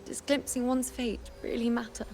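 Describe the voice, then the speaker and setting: Woman acting solemn seer with creaky voice. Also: on the high side, little pitch movement, moderate pace, darkish tone, lightly acted. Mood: pensive. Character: solemn, resolute seer woman, introspective and burdened. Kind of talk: scripted video game story acting. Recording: background music under voice.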